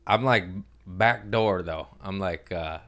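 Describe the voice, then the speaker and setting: Laid-back, low-voiced comedian host. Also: male voice, slow, a bit bright. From Southern California. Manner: laid-back, rambling, profane, with restarts. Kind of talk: casual podcast chat.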